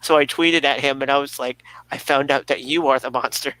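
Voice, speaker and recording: A man's voice: mid-range voice, fairly even intonation, a little fast, bright, forceful tone, smooth, low-quality recording. A man co-hosting a talk show in casual, unscripted conversation.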